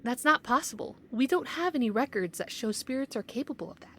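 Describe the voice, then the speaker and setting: An actress playing a strict, skeptical supervisor in an audio drama, firm and officious. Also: female voice, high voice, lively intonation, fast, fairly bright tone, slight background noise.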